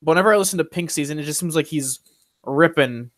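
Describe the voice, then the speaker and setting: A young man chatting casually, voicing firm opinions in quick bursts with frequent restarts. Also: on the high side, fairly bright tone, no echo, clean studio sound. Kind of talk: casual chat.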